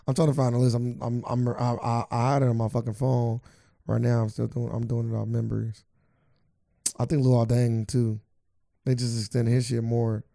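Man, gravelly voice, dark tone, laid-back co-host, dry close mic. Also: medium pitch, flat delivery, normal speed, dry recording. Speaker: podcast co-host. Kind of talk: casual sports chat.

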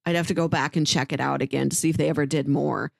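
A woman's voice: deep voice, fairly even intonation, fast, vocal fry, no echo, clean studio sound. A woman co-hosting a podcast, giving recommendations in a chatty, informal way with casual asides. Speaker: podcast co-host.

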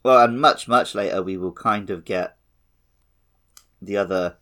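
A man's voice: on the low side, measured pace, pushed and bright. A man co-hosting a casual podcast conversation about video games. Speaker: podcast host. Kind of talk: casual video game discussion.

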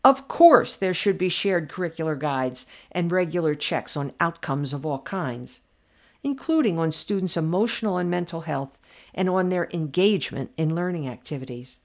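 A woman commentator reading her own essay aloud in a measured, deliberate way with emphatic pauses. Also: on the low side, lively intonation. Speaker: podcast commentator.

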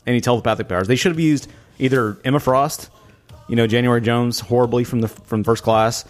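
A man's voice: mid-range voice, steady tone, a little fast. Man in casual podcast chat. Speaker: podcast co-host reviewing a film.

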